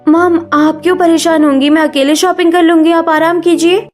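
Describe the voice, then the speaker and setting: A woman's voice: very high voice, flat delivery, normal speed. A woman playing a spoiled, haughty young daughter-in-law in a cartoon family melodrama, snappish and defensive. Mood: annoyed.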